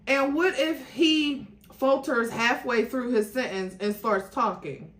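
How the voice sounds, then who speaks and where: Woman acting out a loud, agitated, defiant young woman. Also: fairly high pitch, takes it slowly, pitched above the performer's own voice, poor audio. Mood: defiant worry. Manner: loud, indignant, swearing.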